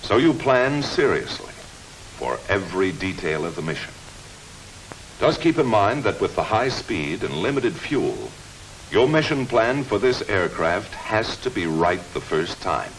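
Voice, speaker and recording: A man's voice: on the low side, big pitch swings, unhurried, poor audio. An American man giving a scripted 1950s military briefing, addressing trainees directly as their instructor. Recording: faintly hissy 1950s film soundtrack.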